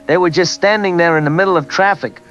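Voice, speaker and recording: An actor playing a rattled veteran highway patrol officer, plain-spoken and folksy, interviewed by phone in an audio drama. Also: male voice, fast, fairly bright tone. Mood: disbelieving. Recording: muffled phone line, music behind.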